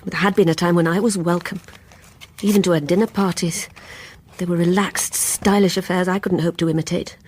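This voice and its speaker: An actress playing a troubled housewife in a radio play, confessing obsessive secrets in an intimate, brittle, insistent way that drops to hushed tones. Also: female voice, on the low side, steady tone, brisk, husky. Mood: brooding, confiding. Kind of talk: dramatic radio play acting with confessional narration.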